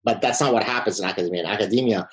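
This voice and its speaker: A man's voice: lowish voice, rapid-fire. A middle-aged man from the Middle East, a professor and native Arabic speaker, answering interview questions in a confident, combative way with wry humor. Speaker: podcast guest, professor.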